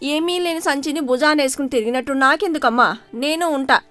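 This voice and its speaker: Woman as a high-voiced, two-faced daughter-in-law. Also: brisk, fairly bright tone, no room sound, soft background music. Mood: indignant, greedy.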